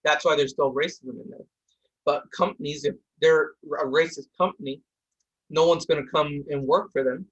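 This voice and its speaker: Husky young man, heated podcast host. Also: a little high, steady tone, muffled recording. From India. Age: young adult. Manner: heated, talks fast with restarts. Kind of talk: casual discussion. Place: home room with shelves.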